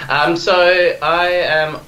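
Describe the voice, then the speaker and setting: A man's voice: on the high side, fairly even intonation, extremely slow, sharp tone, reverberant, dull audio, slight background noise. A young man in his twenties with an Australian accent, talking as a podcast guest in a rambling, eager way full of fillers and restarts. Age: 20s. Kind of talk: casual chat.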